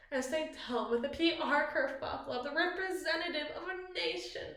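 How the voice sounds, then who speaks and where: Girl doing an evil queen asmr thing, possessive n scheming, fancy dramatic wording, highish voice, super echoey bathroom. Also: fairly bright tone. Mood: agitated, impassioned. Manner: ornate, eloquent, dramatic phrasing. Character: possessive, cunning evil queen, doting yet menacing spouse. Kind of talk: ASMR roleplay, theatrical monologue. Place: small bathroom, indoors.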